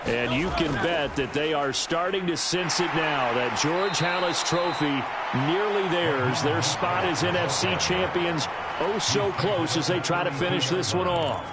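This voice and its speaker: Male actor as football play-by-play announcer, American accent, fairly high voice, brisk, dramatic calls, heavy game noise. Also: a loud crowd, natural voice. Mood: steady, keyed up. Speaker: play-by-play sports commentator in a video game. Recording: loud game noise over the commentary.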